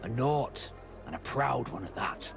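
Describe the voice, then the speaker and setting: A man's voice: mid-range voice, lively intonation, a little slow, vocal fry, over music. A man playing a proud, blunt, tough sea captain in a video game. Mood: sincere.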